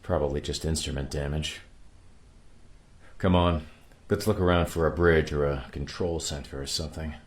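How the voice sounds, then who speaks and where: Spaceship captain, male, low-pitched, grave and weary, used to giving orders, radio-play science fiction. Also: fairly even intonation, normal speed. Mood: grave, troubled.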